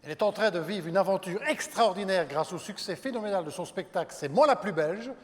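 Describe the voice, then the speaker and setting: A man's voice: sits high, animated, a little fast, big-room sound. A man introducing the next act at a comedy gala, announcing in a formal stage style. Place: large theater.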